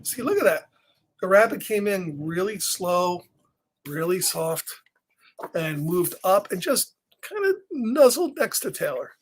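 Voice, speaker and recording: A man hosting a story time, reading a picture book aloud gently with chatty asides and questions, sometimes dropping almost to a whisper. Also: high-pitched, sing-song, a little slow. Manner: gentle, conversational, often asks questions and drops into whispers. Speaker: story time reader and host.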